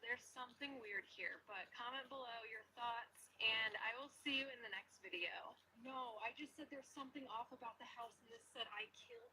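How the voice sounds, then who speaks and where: Female video talker, high-pitched, excited and casual, with noticeable location noise. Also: normal speed, hardly any pauses. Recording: noisy on-location video audio.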